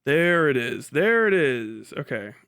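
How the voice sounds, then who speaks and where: A man's voice: mid-range voice, sing-song, measured pace, fairly bright tone, smooth. A man hosting a casual livestream, rambling bluntly with lots of profanity and muttered asides to himself and chat. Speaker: livestream host.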